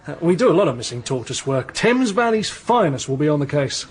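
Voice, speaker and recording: A police desk sergeant in a radio play, with a fairly high, animated voice. He is jovial and deferential, full of droll official politeness. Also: fairly quick, breathy edge. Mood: droll, reassuring. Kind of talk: radio drama acting.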